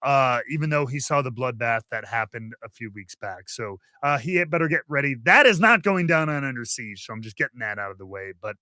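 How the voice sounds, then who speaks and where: A man hosting a wrestling podcast, previewing events in a theatrical announcer style with drawn-out emphasis. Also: mid-range voice, lively intonation, pushed and bright, no echo. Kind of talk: animated show introduction and wrestling preview.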